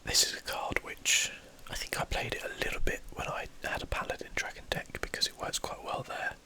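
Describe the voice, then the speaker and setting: Casting: game streamer for ASMR, fully whispered, bright and airy, casually thinking through each move. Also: a little fast. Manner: whispering throughout, breathy and unvoiced. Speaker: gaming video host. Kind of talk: whispered ASMR gameplay commentary.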